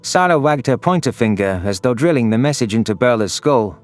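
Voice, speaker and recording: A man narrates a sci-fi story in a scripted, first-person style. His voice is mid-pitched, with faint music running underneath. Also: expressive, fairly quick. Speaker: audio drama narrator.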